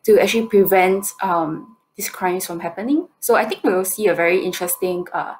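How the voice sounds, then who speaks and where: A woman in her twenties with a Singaporean accent, answering questions on a video call in halting, hesitant phrases. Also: on the low side, a little hiss or hum, rough recording. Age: 20s. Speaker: competition presenter answering judges' questions. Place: indoor room, on a video call.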